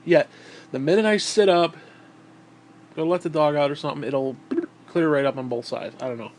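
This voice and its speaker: A man's voice: fairly high pitch, moderate pace, a little mellow, a little hiss or hum. A man with a stuffed-up, nasal voice, talking in a bitter, profane, rambling monologue into a tablet. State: stuffed-up nose. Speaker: vlogger.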